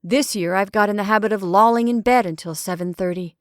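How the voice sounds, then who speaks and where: A woman's voice: mid-range voice, fairly quick, smooth, no room sound, close to an everyday voice. A woman reading as an earnest, pedantic young male scholar who lectures in precise, formal sentences, recorded dry and close.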